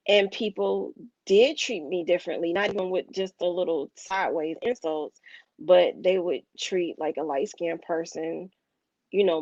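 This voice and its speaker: A woman hosting a casual discussion show, talking in a hurried way and addressing her listeners directly. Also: on the low side, darkish tone, no echo, lo-fi sound. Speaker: podcast host.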